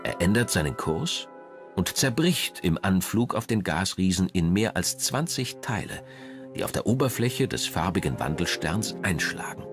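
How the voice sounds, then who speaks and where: Deep voiced guy narrating a documentary, lively rise and fall, music playing behind him. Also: normal speed.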